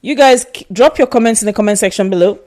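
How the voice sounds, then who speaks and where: A woman's voice: on the high side, fast, darkish tone. A woman with a Nigerian accent in a casual opinion vlog, talking emphatically and repeating herself for stress. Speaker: vlogger.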